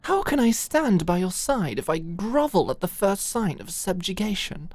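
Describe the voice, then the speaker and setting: A woman's voice: medium pitch, very animated, normal speed, darkish tone. A woman playing a proud, combative lady knight and devoted lover in a theatrical, sardonic ASMR audio drama. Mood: hurt, submissive. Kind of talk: dramatic ASMR roleplay acting.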